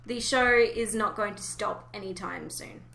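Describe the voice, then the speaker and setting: A woman's voice: medium pitch, a little slow, vocal fry, a bit bright, a little hiss or hum. A woman hosting a podcast in a casual, chatty style. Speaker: podcast host. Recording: roomy, echoey home recording.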